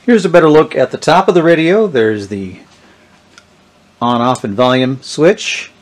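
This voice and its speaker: A middle-aged man gives a casual, unscripted product demonstration, haltingly thinking aloud as he goes. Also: fairly high pitch. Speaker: presenter of a vintage electronics channel. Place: indoors, small tabletop product-shooting setup.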